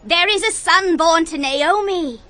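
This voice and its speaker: A woman's voice: extremely high pitch, a little slow, sharp tone, heavily acted. A woman playing a devout village neighbor, reverent and declamatory, in a dramatized scripture reading. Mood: joyful, reverent.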